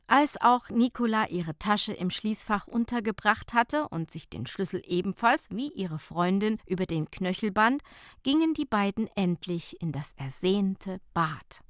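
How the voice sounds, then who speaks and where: A woman narrating a light short story as an audiobook, in measured, clearly phrased storytelling. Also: medium pitch, no room sound, clean studio sound.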